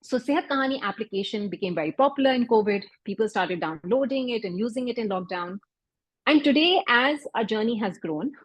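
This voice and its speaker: A woman with a Pakistani accent, a doctor and founder whose first language is Urdu, giving an earnest, measured talk at an event with occasional restarts. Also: fairly high pitch, husky, dry recording, rough recording. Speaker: doctor and health startup founder.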